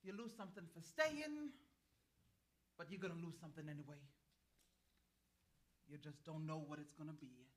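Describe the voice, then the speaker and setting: A woman's voice: low-pitched, normal speed, pauses often, lightly acted. A woman playing a sly, earthy, ageless river woman in a staged play reading, with a folksy, teasing, knowing way of talking. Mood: sage, matter-of-fact. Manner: folksy, teasing, chuckling drawl of a wise elder.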